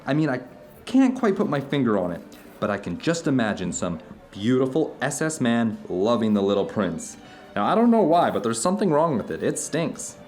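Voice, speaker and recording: Animated, searching man played by an actor. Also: mid-range voice, normal speed, faint music behind, close to an everyday voice. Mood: uneasy, searching. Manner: rambling, searching, earnest, emphatic. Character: animated, introspective man telling uncanny personal anecdotes to an old friend. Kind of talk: audio drama dialogue, conversational monologue.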